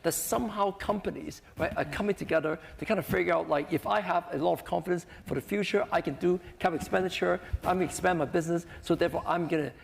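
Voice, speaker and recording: Creaky high-voiced man on a panel. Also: fast, hardly any pauses. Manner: conversational, peppered with "right?" tags. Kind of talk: unscripted panel discussion. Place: conference panel hall. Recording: echoey hall with some noise.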